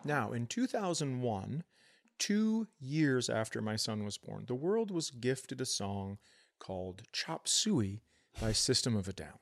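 A man's voice: mid-range voice, sing-song, a little slow, creaky. Casting: middle-aged male podcast comic, mid-range voice, casual storyteller who swears freely. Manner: profane, storytelling. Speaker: podcast co-host and comedian. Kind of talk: casual comedic chat.

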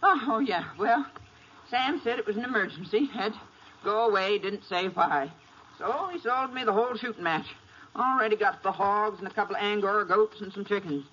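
A woman's voice: mid-range voice, normal speed, fairly bright tone, lightly acted. An actress playing a folksy, rambling rural Texas farm woman with a Texan accent in an old-time radio western. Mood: chatty, matter-of-fact. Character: plain-spoken rural Texas farm woman wrangling a mule. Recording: noisy lo-fi old radio recording.

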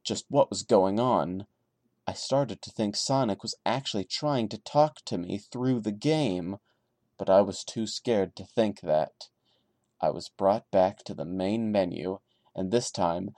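A man's voice: fairly low pitch, a little slow, mellow, no echo. A man hosting a podcast, reading a horror story dramatically with sarcastic, mocking asides. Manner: theatrical, mocking. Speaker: podcast host reading and commenting.

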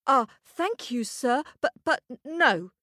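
A woman voicing a young boy who answers in a high, hesitant, polite way. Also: lively intonation, takes it slowly, pushed and bright, dry recording, clean studio sound, heavily acted. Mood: politely nervous.

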